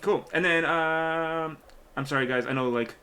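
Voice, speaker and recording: A man's voice: on the high side, measured pace, bright, forceful tone. A man hosting a casual live stream, hesitantly reading out viewer questions with many fillers. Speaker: stream host.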